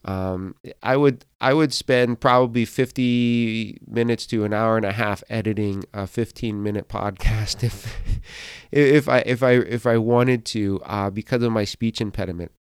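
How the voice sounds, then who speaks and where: A man with a slightly low, smooth voice and a mild speech impediment talks in an unhurried, halting way, often restarting his words. He hosts a devotional podcast as a pastor. The sound is dry and close, with no room around it. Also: steady tone, no room sound. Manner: halting, many fillers and repeated words. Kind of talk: reflective devotional podcast talk.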